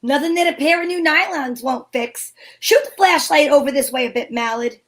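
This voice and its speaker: Woman doing a plucky girl detective, really high voice, wry wisecracks, old time radio show style. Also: moderate pace, fairly bright tone. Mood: eager, teasing. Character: plucky, curious female private detective, wry narrator.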